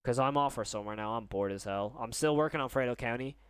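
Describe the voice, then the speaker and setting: A man's voice: medium pitch, fast, clean tone, dry recording. A young man chatting casually on a live stream as he reacts to videos and chat, rambling and often rephrasing himself mid-thought.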